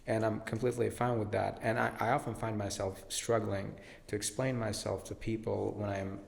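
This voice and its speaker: A man's voice: fairly low pitch, little pitch movement, moderate pace, creaky, long reverb tail. A young man, a podcast guest who teaches English, talking in a blunt, matter-of-fact way in a casual interview.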